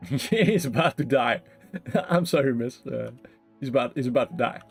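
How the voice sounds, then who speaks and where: A man's voice: mid-range voice, expressive, unhurried, vocal fry. Joking male game streamer over music. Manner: joking, rambling, giggly. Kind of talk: casual livestream game commentary.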